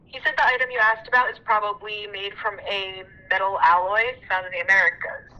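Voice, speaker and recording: A woman's voice: mid-range voice, little pitch movement, brisk, bright, forceful tone. A woman playing an earnest sister leaving a voicemail, heard through a telephone filter, in an audio drama. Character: Laura, earnest sister leaving a phone message. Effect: telephone answering machine filter. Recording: tinny answering machine playback.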